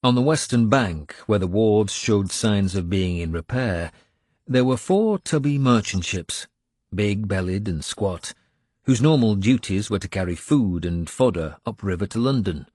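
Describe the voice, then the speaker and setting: A man narrates a historical adventure in the first person. His voice is low and the sound is dry, with a dramatic, scripted storyteller's delivery. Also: animated, measured pace, darkish tone, no echo. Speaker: audiobook narrator.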